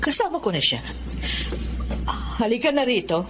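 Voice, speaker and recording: A woman's voice: a little high, sing-song, normal speed, noisy background. An actress with a Filipino accent playing a protective, resolute middle-aged mother in a radio drama. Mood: reassuring. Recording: dramatic music behind the voice.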